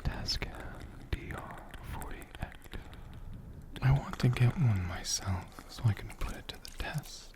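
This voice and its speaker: A man doing soft-spoken, whispered ASMR in a gentle, deliberate manner, close to a condenser mic. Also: medium pitch. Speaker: ASMR creator answering viewer questions. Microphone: USB condenser mic, close up.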